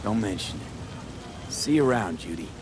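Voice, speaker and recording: Low-voiced, dry, guarded mercenary. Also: male voice, animated, a little slow, heavy background noise. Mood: calm. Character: hardened male mercenary, calm, dry and guarded. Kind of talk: video game cutscene acting, naturalistic dialogue.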